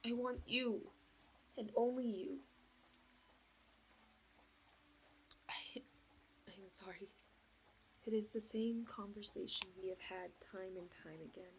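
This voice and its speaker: A woman's voice: mid-range voice, fairly bright tone, a little hiss or hum, natural voice, poor audio. A woman playing an anxious, lovelorn young princess bride in a romantic audio roleplay, speaking formally and hesitantly. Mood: lovelorn, distressed. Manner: formal regal phrasing, hesitant, breathy pleading.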